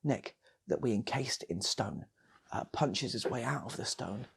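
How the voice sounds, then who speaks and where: A British man spins an improvised comic tale with a very husky, gravelly voice. His pitch moves around a lot as he rambles and repeats himself for effect, sometimes slipping into whispers and little acted voices. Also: expressive, unhurried. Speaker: channel host and storyteller.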